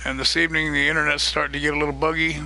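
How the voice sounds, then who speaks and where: Gravelly, bright-voiced streamer going hoarse. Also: male voice, on the high side, flat delivery, rapid-fire, slight background noise. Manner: chatty, greets viewers by name, chuckles often. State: voice getting a little hoarse. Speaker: streamer hosting a multiplayer farming game. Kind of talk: casual live-stream chat while gaming.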